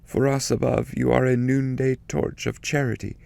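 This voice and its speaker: A reverent holy man prays in an audiobook of epic poetry, acted by a male reader. His voice is lifted well above the reader's usual register, with a creaky edge. He declaims solemnly, pleading in measured lines. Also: medium pitch, flat delivery, darkish tone, no room sound, studio quality, pitched above the performer's own voice. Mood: reverent praise. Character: reverent saintly holy man praying to the Virgin. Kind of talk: dramatic poetry reading, audiobook.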